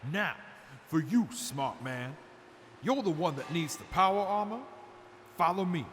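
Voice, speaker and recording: Quartermaster, male actor, showy and confident, highish lively voice, audio drama in a reverberant hall with faint noise. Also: unhurried. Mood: salesman-like. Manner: salesman-like, flattering, assured. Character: proud, showy, confident master armorer and quartermaster. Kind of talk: post-apocalyptic audio drama acting.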